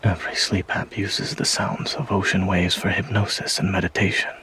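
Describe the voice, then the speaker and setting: A man playing a calm, dry-witted doctor in a romantic audio drama, speaking in a measured, soft and deadpan way. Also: deep voice, slight background noise. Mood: soft, tender.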